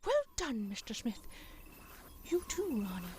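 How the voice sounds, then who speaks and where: A woman playing a brave female leader defending Earth in an audio drama, emphatic and briskly authoritative. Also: a little high, huge pitch swings, heavy background noise.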